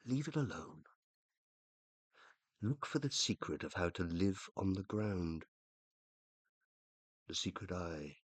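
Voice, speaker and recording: A man's voice: low voice, a bit bright, no echo, full character voice. A man playing an anguished dead scientist, swooping intonation. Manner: emotional, hesitant, confessional. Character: uploaded consciousness of a dead scientist, a self-reproaching, loving husband. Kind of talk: radio play acting.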